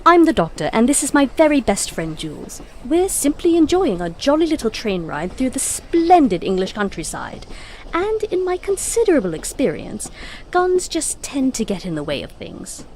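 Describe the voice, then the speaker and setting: Woman playing cheeky eccentric adventurer lady, really high lively voice, breezy radio play style, bit of train noise. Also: moderate pace. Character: playful, cheeky, self-assured eccentric female time-traveller. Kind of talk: audio drama acting.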